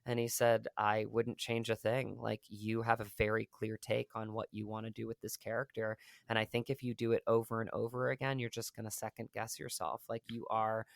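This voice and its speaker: Earnest gravelly man, flat rambling delivery. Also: lowish voice, normal speed, no echo. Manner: earnest, rambling with many fillers and restarts. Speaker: actor guest. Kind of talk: casual interview conversation.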